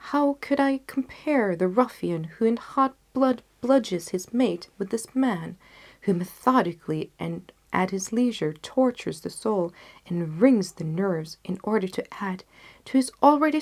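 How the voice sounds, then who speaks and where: A woman's voice: mid-range voice, animated, unhurried, a little mellow. A woman playing an intense, disgusted male detective in an audiobook, speaking in an emphatic, declamatory way. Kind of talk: audiobook character dialogue.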